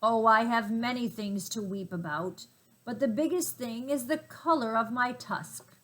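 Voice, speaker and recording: A woman reading a storybook aloud and voicing an old male rhinoceros who is vain, gloomy and angry, speaking loudly and mournfully. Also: fairly high pitch, unhurried.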